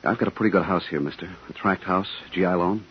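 An actor playing a guarded, scared suburban husband and veteran, terse and evasive, in 1950s radio drama style. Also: male voice, low-pitched, quick pace, lightly acted. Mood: defensive, firm. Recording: dull, slightly noisy 1950s radio recording.

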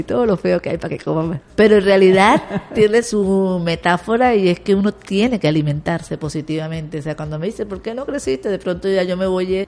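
A woman's voice: on the low side, a little mellow. A woman, a guest in an interview, talking in an earnest, upbeat way and explaining at length. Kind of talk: conversational interview.

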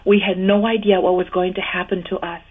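A woman guest recounting her own story in an interview, speaking in an earnest, measured way. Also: fairly low pitch, little pitch movement, husky. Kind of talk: personal testimony in an interview. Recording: tinny phone-line sound.

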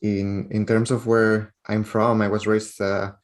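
A man's voice: lowish voice, level tone, a little slow. A man from Spain with a Spanish accent, a guest on a podcast interview, speaking with frequent fillers and restarts. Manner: hesitant, many fillers and restarts.

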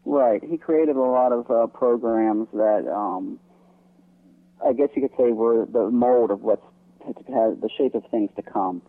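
A man's voice: mid-range voice, takes it slowly. A man speaking as an interview guest over the telephone, explaining things informally. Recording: narrow, tinny phone line.

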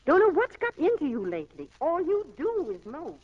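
A brisk, strict American farm wife in an old radio play. Her high voice moves up and down in lively, fussing phrases. The sound is dull vintage broadcast. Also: a little hiss or hum, heavily acted. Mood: motherly, fussing. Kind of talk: 1940s radio acting. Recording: muffled 1940s radio broadcast.

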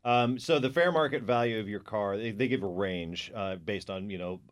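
A man in casual podcast chat, calm and measured as he reads out figures. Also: lowish voice.

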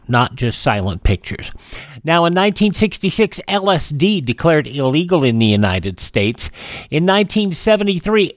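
A man's voice: on the high side, no echo, clean studio sound. A man hosting a radio show, reading scripted notes on history.

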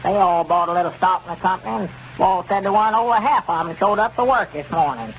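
High pitched old southern storekeeper, folksy n grumbly, old muffled 1930s radio comedy. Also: male voice, fast, fairly bright tone. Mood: matter-of-fact. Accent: rural Southern US. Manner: homespun, plain-spoken, grumbling. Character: folksy, easily puzzled old country storekeeper. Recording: dull, noisy 1930s radio recording.

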